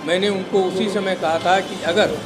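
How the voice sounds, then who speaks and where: Older man, raised pitch, deliberate interview statement, prominent background music. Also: steady tone. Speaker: interviewee, father-in-law giving his side. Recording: loud music over the speech. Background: loud music.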